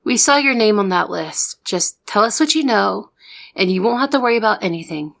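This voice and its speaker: A woman's voice: medium pitch, lively intonation, fairly quick, gravelly. A woman playing a young trainee secret agent in an audio roleplay, the good cop who reasons and coaxes. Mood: coaxing, stern. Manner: persuasive, reasoning, exasperated with partner. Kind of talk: ASMR roleplay audio drama.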